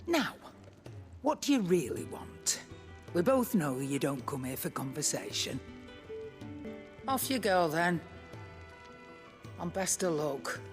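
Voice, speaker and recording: An actor playing a gruff, sardonic outlaw in a video game, curt and knowing in the way he talks. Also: fairly high pitch, big pitch swings, slow, long pauses, over music, heavily acted. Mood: sardonic, dismissive. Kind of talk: video game cutscene acting.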